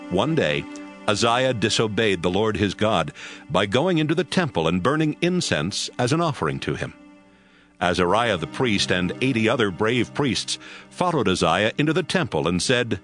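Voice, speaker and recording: A man's voice: on the low side, very animated, normal speed, fairly bright tone. A man reading scripture as scripted narration for an audio Bible. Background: music.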